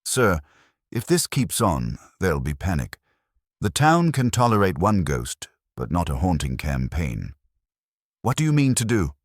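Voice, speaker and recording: A man's voice: low-pitched, wildly swooping pitch, measured pace, no room sound, clean studio sound, heavily acted. A man voicing an eager, deferential police sergeant who presses his points insistently in a detective story. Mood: hesitant, worried. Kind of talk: detective audiobook character reading.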